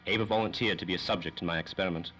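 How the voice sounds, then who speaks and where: A man acts a self-important doctor of science from a 1930s radio serial. His low voice has a sharp, forceful edge, and he rattles off formal, pedantic phrases quickly. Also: steady tone. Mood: proud, indignant. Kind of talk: 1930s old-time radio drama acting.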